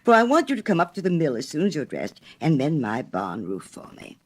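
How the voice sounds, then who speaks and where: A woman's voice: deep voice, huge pitch swings, a little fast, breathy edge, exaggerated voice. A woman playing a smug, self-righteous male miller in a storybook reading, pompous and wheedling. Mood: pushy, aggrieved.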